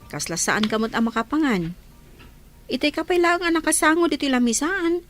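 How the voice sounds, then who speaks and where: An actress playing a caring older sister figure who questions and scolds gently in a radio drama. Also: female voice, sits high, expressive, a little fast, soft background music. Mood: coaxing. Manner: probing, concerned.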